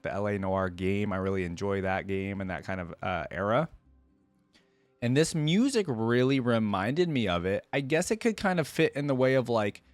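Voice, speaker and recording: A man's voice: fairly low pitch, dry recording. A man hosting a reaction video, talking casually and directly to viewers in a rambling, conversational way and often hedging his opinions.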